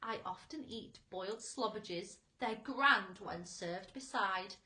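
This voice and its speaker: A woman's voice: medium pitch, takes it slowly, pushed and bright, echoey room. A woman voicing a boastful, exuberant storybook centipede who declaims in rhythm. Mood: boastful, relishing. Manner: declamatory, rhythmic verse delivery. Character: boastful, exuberant, greedy centipede reciting a food song.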